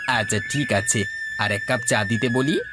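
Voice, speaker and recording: A man's voice: mid-range voice, normal speed, music in the background, close to an everyday voice. A man playing a reassuring middle-aged friend in a cartoon story, easygoing and consoling in manner. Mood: casual. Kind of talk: cartoon moral-story dialogue.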